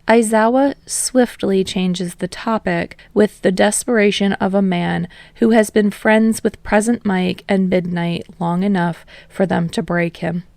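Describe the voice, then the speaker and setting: A woman's voice: mid-range voice, steady tone, measured pace, gravelly, hardly any pauses, darkish tone, no room sound. A woman reading fiction aloud as a scripted story narrator.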